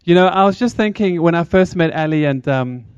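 A man's voice: on the high side, lively intonation, fairly quick, clean tone, no echo, lo-fi sound. A man from South Africa with a South African accent, giving a chatty, engaging talk to a church congregation as a charity speaker. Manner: chatty, engaging, asks the crowd questions.

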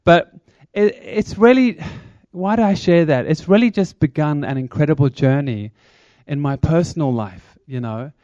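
A South African man in his thirties speaks to a church congregation with a chatty, engaging lilt. His voice sits a little high for a man. The sound is dry and a touch dull. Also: normal speed, hardly any pauses, warm tone, no room sound. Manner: chatty, engaging, asks the crowd questions. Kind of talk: upbeat church talk to congregation.

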